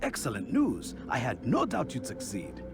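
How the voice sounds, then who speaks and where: An actor playing an approving, wise leader of assassins in a video game, formal and expressive. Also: male voice, medium pitch, normal speed, vocal fry, music in the background. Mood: pleased, approving. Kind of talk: dramatic video game acting.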